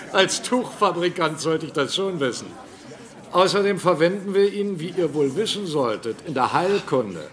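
An actor in a German radio play as a self-assured cloth merchant, knowing and slightly condescending. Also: male voice, a little high, lively intonation, unhurried, a bit bright. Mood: smug.

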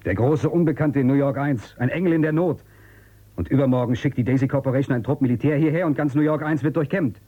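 An actor playing a brusque, suspicious outcast in a radio drama, with a commanding, curt way of questioning. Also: male voice, medium pitch, quick pace, narrow, tinny audio, natural voice. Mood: wary. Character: brusque, suspicious male outcast hiding in abandoned future city. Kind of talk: German radio drama acting.

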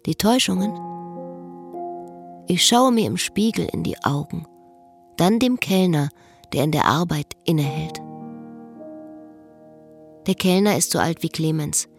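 An actress playing a melancholy, wry woman who narrates and speaks in a literary radio play, measured and terse. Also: on the low side, soft background music. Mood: pensive. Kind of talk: literary radio play, inner monologue and dialogue.

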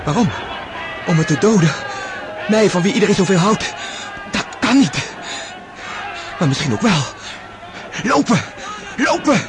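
High-pitched, panicking young soldier. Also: male voice, lively intonation, a little slow, music in the background. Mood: panicked disbelief. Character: frightened young male cadet soldier, wounded on a battlefield. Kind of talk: radio drama acting. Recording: loud battle noise behind the voice.

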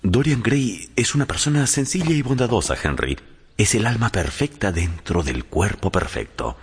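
An earnest, admiring painter in a radio drama. His voice is low and very husky, rough at the edges. It rings in a long hall reverb. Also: sing-song, normal speed, exaggerated voice. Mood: earnest admiration. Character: earnest male painter devoted to his young muse.

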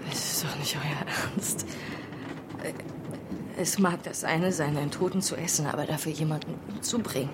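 An actress playing a starving, despairing woman with a conscience in a radio horror drama, halting and sometimes dropping toward a breathy whisper. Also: sits low, fairly even intonation, close to an everyday voice. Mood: appalled.

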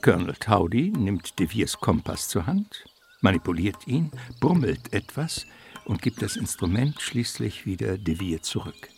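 A man narrating a comic adventure radio play as a wry, literary storyteller with measured delivery. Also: fairly low pitch, very animated, creaky, a little mellow, faint music behind, full character voice.

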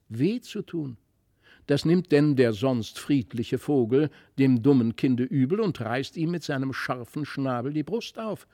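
A man with a standard German accent reads a literary fairy tale as an expressive, deliberate storyteller. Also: a little high, no room sound, studio quality. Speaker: audiobook reader.

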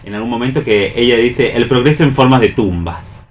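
A man's voice: medium pitch, a little fast, a little hiss or hum. An Argentine man hosting a radio round table about a play, speaking reflectively and repeating words for emphasis. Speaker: radio host discussing a play. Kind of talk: round-table literary discussion.

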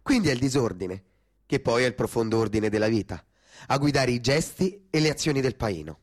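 A man's voice: mid-range voice, lively intonation, a little fast. A man narrating a literary story in a theatrical style for radio. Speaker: actor-narrator.

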